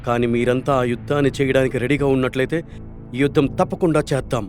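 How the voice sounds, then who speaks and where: A man voicing a stern but protective animal king in an animated story, speaking earnestly. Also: medium pitch, quick pace, soft background music, close to an everyday voice. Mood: worried.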